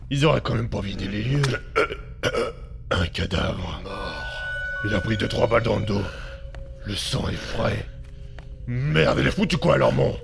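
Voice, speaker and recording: A man's voice: lowish voice, vocal fry, exaggerated voice. An actor playing a tough, streetwise man who talks bluntly, swears and mutters to himself in an audio drama.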